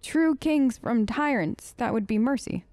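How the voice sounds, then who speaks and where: A woman's voice: on the high side, expressive, measured pace, a little mellow, slight background noise. A woman streamer giving casual, playful gameplay commentary and talking back to the game's characters. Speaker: let's play streamer. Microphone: close, dry mic.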